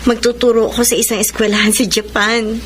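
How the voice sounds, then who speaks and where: An actress playing a gentle, worried young adult younger sister in a Filipino radio drama, tentative and with a Filipino accent. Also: female voice, fairly high pitch, flat delivery, fairly quick, background noise, close to an everyday voice.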